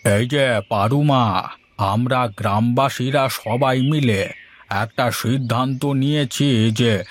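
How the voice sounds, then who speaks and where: A man's voice: mid-range voice, takes it slowly, a little hiss or hum, close to an everyday voice. A man voicing a paternal, bossy older village landlord in a moral story cartoon, with an authoritative manner. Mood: kindly, instructing.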